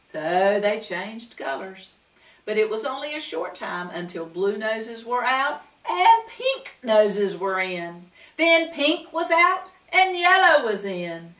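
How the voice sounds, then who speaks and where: A woman's voice: a little high, sing-song, slow pace, a bit bright. A woman reading a children's story aloud expressively, with asides to her listeners. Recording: roomy room, distant mic.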